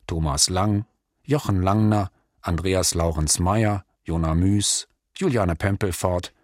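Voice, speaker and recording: A male announcer reads scripted credits for a radio production. He sounds sober and formal, with a very low voice in a dry studio recording. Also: dry recording.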